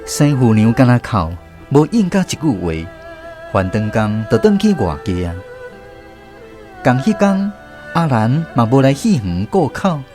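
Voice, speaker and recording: A man's voice: mid-range voice, very animated, unhurried, a little mellow, soft background music. A man playing a grown storyteller reflecting on his boyhood, narrating a radio drama with a Taiwanese accent. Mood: reflective.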